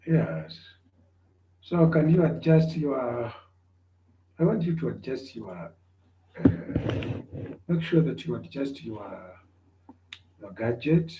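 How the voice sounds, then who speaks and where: A man's voice: medium pitch, lively intonation, takes it slowly, pauses often, roomy sound, dull audio, a little hiss or hum. A man giving an online class lecture, repeating himself often and checking whether his students can hear him. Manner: repetitive, checks on listeners.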